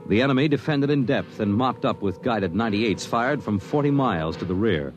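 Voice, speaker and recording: An American man announcing and narrating a 1950s radio drama in a formal, measured, dramatic manner. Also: fairly low pitch, animated, soft background music.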